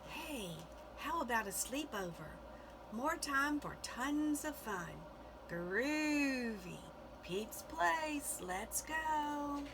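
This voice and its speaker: A woman's voice: sits high, expressive, drawn-out words, voice pushed up, heavily acted. A woman voicing a laid-back, groovy male cat from a children's storybook, in a noisy recording. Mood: upbeat, inviting.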